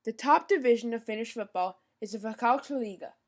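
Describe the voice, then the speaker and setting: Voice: mid-range voice, fairly quick. A young podcast host giving a scripted informational rundown, now and then stumbling over foreign names and apologizing.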